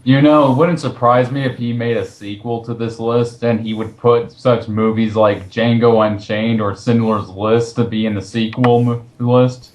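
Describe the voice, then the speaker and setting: Male co-host, mid-pitched, mocking, sarcastic film commentary, roomy echoey recording. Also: fairly even intonation, normal speed, few pauses, darkish tone. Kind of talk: sarcastic reading and casual commentary on an article.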